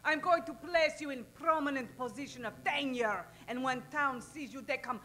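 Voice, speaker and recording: A woman's voice: high-pitched, normal speed, fairly bright tone. A woman playing a menacing, proud scorpion mother who speaks theatrical broken English in an old-time radio melodrama. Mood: scheming.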